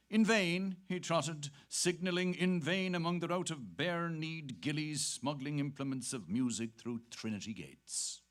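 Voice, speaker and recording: A man's voice: mid-range voice, fairly bright tone. A man narrating literary prose in a scripted dramatic reading.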